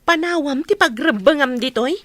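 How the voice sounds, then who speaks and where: A woman's voice: high voice, animated, moderate pace. A woman with an Ilocano accent playing a jealous, domineering middle-aged aunt in a radio drama, nagging and scolding. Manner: sharp, nagging.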